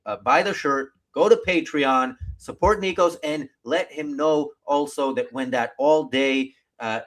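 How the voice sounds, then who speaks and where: A man hosting a casual live talk show, speaking in a bantering, teasing way. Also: mid-range voice.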